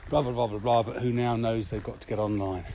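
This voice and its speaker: A man's voice: lowish voice, fairly even intonation, fast. A man co-hosting a podcast, explaining things in casual conversation while walking outdoors. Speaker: podcast co-host and virtual training consultant. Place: outdoors on a walk. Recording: very noisy outdoor recording, clicks and traffic.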